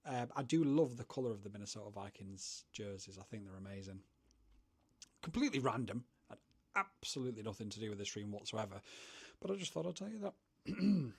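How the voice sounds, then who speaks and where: A man's voice: fairly low pitch, husky. A man from the UK, hosting a rambling, chatty livestream from his home studio and talking to viewers in chat. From United Kingdom. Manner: rambling, chatty, self-deprecating. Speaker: livestream host.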